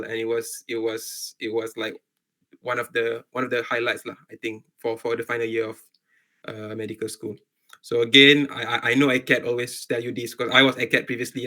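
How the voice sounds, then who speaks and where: A man's voice: medium pitch, sharp tone. A young man with a Malaysian accent giving an informal online talk to students, often repeating words and rephrasing as he goes. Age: young adult. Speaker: medical doctor, guest speaker.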